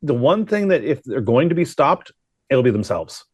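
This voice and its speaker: A man's voice: medium pitch, sing-song, moderate pace, slightly rough, dry recording, clean studio sound. A man giving conversational hockey analysis as a guest broadcaster, often posing questions to himself. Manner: thinks aloud with rhetorical questions.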